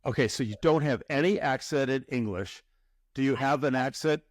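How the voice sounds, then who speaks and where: A man's voice: medium pitch, normal speed, no echo, studio quality. A man hosting a podcast interview, chatty, often repeating words as he starts a thought. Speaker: podcast host.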